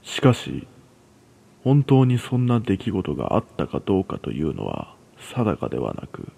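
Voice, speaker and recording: Low, husky male ghost story narrator. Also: huge pitch swings, moderate pace, mellow. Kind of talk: scripted ghost story reading in first person.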